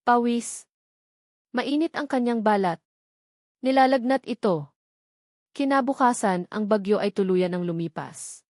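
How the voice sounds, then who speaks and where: A woman reading a scripted dramatic story in measured, deliberate short phrases. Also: on the high side, a little mellow, no room sound, studio quality. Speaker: audiobook narrator.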